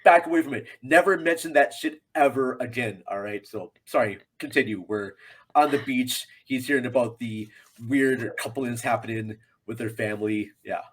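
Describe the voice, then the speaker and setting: A man's voice: medium pitch, normal speed, husky, low-quality recording. Rambling, jokey man chatting about film. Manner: rambling, jokey, self-deprecating. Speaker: podcast guest, film reviewer. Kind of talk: casual film discussion.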